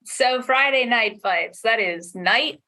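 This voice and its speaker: Slow-paced, bright-voiced woman, wryly chatting. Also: on the high side. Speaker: audio drama podcast creator, panel guest. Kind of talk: casual chat.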